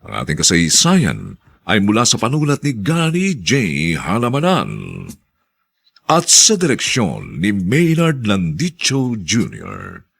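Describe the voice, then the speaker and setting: Male narrator, very deep, gravelly, hugely animated intonation, slow dramatic scripted delivery. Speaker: narrator and announcer.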